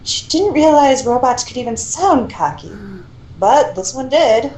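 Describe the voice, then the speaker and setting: A woman's voice: high voice, expressive, measured pace, a little mellow. A woman reading a story aloud in an amateur style, with casual asides. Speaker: co-reader of fan fiction. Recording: echoey room with faint noise.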